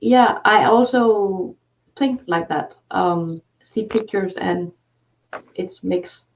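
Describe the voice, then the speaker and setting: A woman host with a Danish accent, talking in halting casual conversation with many fillers. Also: mid-range voice, vocal fry, slight background noise. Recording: distant, dull lo-fi sound.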